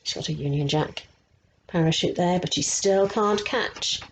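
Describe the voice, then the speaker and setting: A woman reads a children's picture book at a very unhurried pace. Her voice sits slightly low for a woman. She keeps stepping out of the story to explain things and prompt small listeners with questions, over a faint background noise. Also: rough recording. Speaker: resident story teller.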